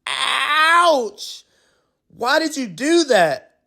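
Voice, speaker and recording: A touchy pizza man, put on by a man reading aloud, complains in a high, sharp voice. He sounds indignant and bright. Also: sing-song, very slow, gravelly, full character voice.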